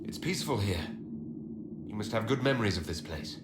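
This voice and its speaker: An actor playing a commanding but merciful leader of a holy order in a fantasy game, direct and wry. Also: male voice, fairly low pitch, animated, fairly quick, very noisy, lightly acted. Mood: relaxed. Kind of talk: dramatic fantasy game acting. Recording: roomy echo, loud background music.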